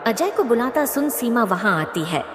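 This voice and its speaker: A woman's voice: fairly high pitch, sing-song, moderate pace. A woman narrating a scripted cartoon moral story as its storyteller. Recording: echoey, long reverb.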